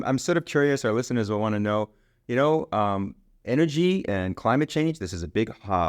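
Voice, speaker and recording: A man's voice: lowish voice, no room sound, clean studio sound. A middle-aged man hosting a podcast, chatty and rambling, often restarting his sentences. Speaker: podcast host. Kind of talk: casual interview conversation.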